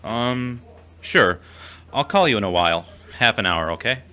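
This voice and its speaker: A man's voice: fairly low pitch, expressive, a little slow, bright, forceful tone, smooth. A man playing a well-meaning, self-doubting friend in an audio drama, plain-spoken and mild. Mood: brisk, reserved. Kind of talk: amateur audio drama acting.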